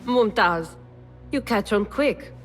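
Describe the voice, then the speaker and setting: An actress playing a cool, shrewd spymaster in a video game, handing out assignments. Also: female voice, mid-range voice, expressive, slow, soft background music. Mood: cool, knowing. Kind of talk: video game character acting. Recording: long hall reverb.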